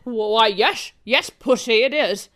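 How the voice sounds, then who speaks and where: Woman playing a suave, melodramatic male spy, pitched down. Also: slow, fairly bright tone. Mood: grave, smug. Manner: melodramatic, portentous. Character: James Bond spoof, suave dramatic male spy. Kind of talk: spoof spy radio play.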